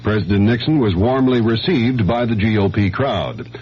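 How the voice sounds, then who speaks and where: A man's voice: fairly low pitch, expressive, normal speed, darkish tone. An American man anchoring a scripted hourly radio news bulletin. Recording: dull, faintly noisy 1970s radio broadcast.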